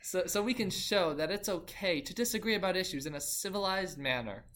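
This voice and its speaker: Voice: medium pitch, lively intonation, a little fast, a bit bright, lightly acted. A performer playing an earnest young male French colonist who hosts a history roleplay podcast, a bit awkward and fond of puns. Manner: earnest, slightly awkward, laughs at own jokes. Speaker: podcast host. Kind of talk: amateur scripted roleplay.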